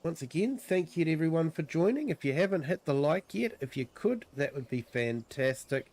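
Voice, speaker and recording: A man with a New Zealand accent and a croaky voice from a cold, giving easygoing livestream commentary on a farming game, full of ums and uhs. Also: a little high, very animated, normal speed, darkish tone. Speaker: streamer. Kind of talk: relaxed livestream gameplay commentary.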